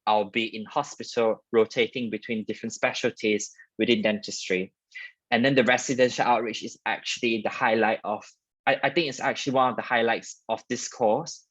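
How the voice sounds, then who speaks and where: A man's voice: medium pitch, fairly bright tone, dry recording, lo-fi sound. A young man from Malaysia with a Malaysian accent, telling personal stories in a chatty, wordy way as a guest dentist in a career talk. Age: young adult.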